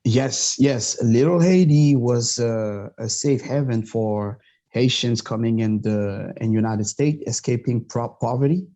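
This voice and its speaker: A man from Montreal whose first language is French, a podcast guest talking in a halting, passionate way with many fillers. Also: medium pitch, dark tone. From Montreal, Canada. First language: Quebec French. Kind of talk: casual interview talk.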